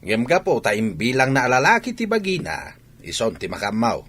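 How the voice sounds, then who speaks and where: Stubborn, disapproving father played by a male actor for radio drama. Tense, forceful delivery, highly animated. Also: normal speed. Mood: scornful. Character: indignant, stubborn father ashamed of his child.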